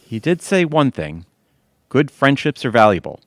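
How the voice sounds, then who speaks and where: A man's voice: medium pitch, big pitch swings, normal speed, no room sound, studio quality. A man playing an affectionate, joking middle-aged husband in an audio theatre comedy-drama. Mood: sincere.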